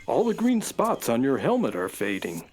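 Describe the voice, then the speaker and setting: A man's voice: mid-range voice, huge pitch swings, normal speed. A man voicing a friendly, loyal talking space horse sidekick in a children's radio play, hearty and encouraging. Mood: relieved. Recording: loud guitar music behind voice.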